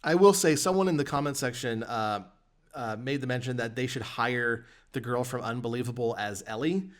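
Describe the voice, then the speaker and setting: A man's voice: mid-range voice, moderate pace. A man hosting a podcast, presenting entertainment news and chatting casually about it. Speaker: podcast host. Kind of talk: reading news and discussing games in casual podcast talk.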